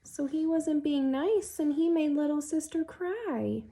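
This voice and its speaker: A woman reading a children's picture book aloud expressively, as a teacher who pauses to put questions to young listeners. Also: high voice, warm tone, slight background noise.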